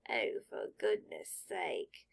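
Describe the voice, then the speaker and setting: A woman voicing an ancient telepathic sorting hat, speaking slowly and deliberately in an audiobook reading. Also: lightly acted. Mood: impatient. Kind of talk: audiobook character voice.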